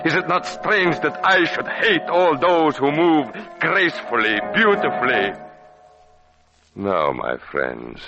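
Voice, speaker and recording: An actor playing a bitter, menacing, deformed puppeteer with theatrical, mocking speech in an old radio horror drama. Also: male voice, fairly high pitch, big pitch swings, takes it slowly, exaggerated voice. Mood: bitter, brooding. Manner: theatrical, ranting, with mocking rhetorical questions. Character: bitter, menacing, twisted puppeteer with withered legs and crushing arms. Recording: muffled vintage radio recording with music.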